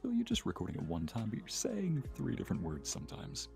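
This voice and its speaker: Deep creaky guy just chatting, curious, explains stuff w examples, faint music behind. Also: wildly swooping pitch, quick pace, a little mellow. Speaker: voice actor and content creator. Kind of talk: casual chat.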